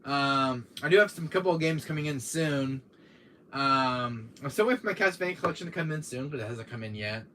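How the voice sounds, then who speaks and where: A man with a deep voice hosting a casual gaming live stream, rambling with many fillers while talking to his chat, tired from a cold. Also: expressive, brisk, sharp tone, slight background noise. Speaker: gaming channel host.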